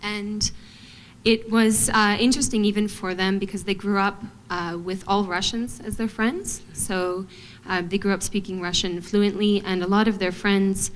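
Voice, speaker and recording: A young woman from Montana speaks at a middle pitch, thoughtful and measured, pausing often with soft fillers. Faint room noise sits behind her voice. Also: low-quality recording. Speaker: guest artist, ballet dancer. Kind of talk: panel discussion answers.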